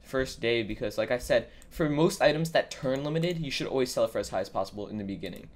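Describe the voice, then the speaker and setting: A man's voice: mid-range voice, expressive, fast. A man who sounds a bit under the weather, giving a casual, improvised screen-capture tutorial and thinking aloud as he goes. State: sick with a cold. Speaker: YouTuber giving a trading tutorial. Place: indoors at a computer, voice-over.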